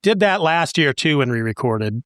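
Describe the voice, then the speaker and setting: A man's voice: a little high, very animated, normal speed, fairly bright tone, dry recording, clean studio sound. A man co-hosting a podcast, chatting casually. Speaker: podcast co-host. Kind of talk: casual chat.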